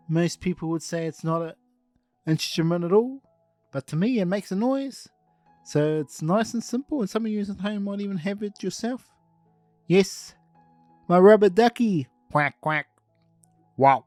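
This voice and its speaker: A man's voice: high-pitched, darkish tone, no echo, pitched above the performer's own voice. A man voicing a cheerful puppet boy, with chirpy, sing-song bedtime talk.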